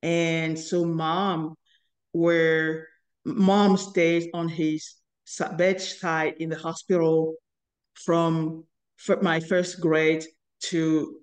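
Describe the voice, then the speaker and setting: A woman in her fifties from Cameroon, telling her life story as an interview guest in an earnest, halting way with many pauses. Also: low-pitched, little pitch movement. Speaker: guest, inventor and company founder.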